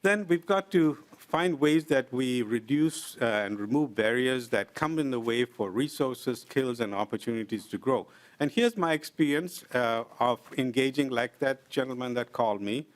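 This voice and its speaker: A middle-aged man testifying before a legislative committee. He speaks in an earnest, deliberate way and pauses often with "uh". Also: medium pitch, expressive. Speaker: invited presenter at a legislative committee. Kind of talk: formal testimony, explaining with examples. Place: legislative committee hearing room.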